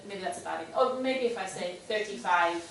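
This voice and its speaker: A woman student asking a long question in class, thinking aloud with halting restarts. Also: mid-range voice, a bit bright, slight background noise. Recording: very echoey room, distant mic.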